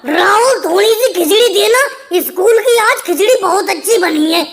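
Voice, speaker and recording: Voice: very high voice, moderate pace, fairly bright tone. A performer voicing a squabbling male cartoon caricature in a whiny, emphatic comic dialogue style. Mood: pleading, bickering. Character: pitched-up cartoon caricature of a squabbling male politician schoolboy or labourer.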